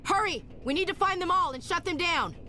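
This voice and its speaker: A woman's voice: extremely high pitch, lively intonation, brisk, pushed and bright, exaggerated voice. A woman playing an alert soldier in a video game, with an urgent, direct way of speaking.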